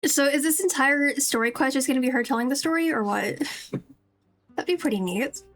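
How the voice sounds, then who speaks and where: A woman's voice: sits high, normal speed, a bit bright. A woman streamer giving casual running commentary on a game. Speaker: live streamer, VTuber.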